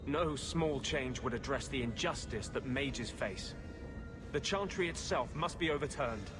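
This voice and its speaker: An actor playing an earnest, brooding rebel mage in a video game, speaking with impassioned intensity. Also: male voice, mid-range voice, expressive, unhurried, music in the background, lightly acted. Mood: impassioned, bitter. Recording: clear ambient noise behind voice.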